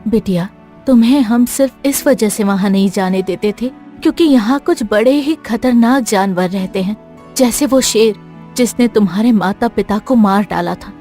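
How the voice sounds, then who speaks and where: A woman voicing a gentle, protective mother cow in a cartoon moral story. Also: medium pitch, normal speed, soft background music, close to an everyday voice. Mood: caring, cautioning.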